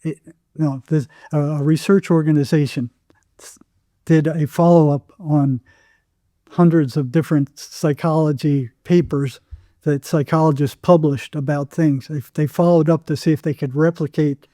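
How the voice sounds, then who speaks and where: A man's voice: fairly high pitch, steady tone, normal speed, mellow, no echo, studio quality. An older American man telling anecdotes casually as an interview guest, acting out bits of dialogue as he goes.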